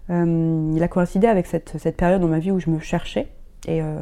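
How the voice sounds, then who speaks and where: A woman's voice: on the low side, steady tone, a little fast, mellow. A middle-aged woman who grew up in Germany, talking as an interview guest in a hesitant way, with many restarts and fillers. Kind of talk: casual interview talk.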